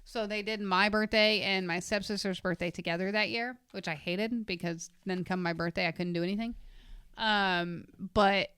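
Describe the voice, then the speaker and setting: A woman's voice: medium pitch, steady tone, moderate pace, fairly bright tone, smooth, no room sound. A woman in her thirties co-hosting a podcast, chatting casually and telling rambling stories. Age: 30s. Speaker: podcast co-host. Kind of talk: casual chat.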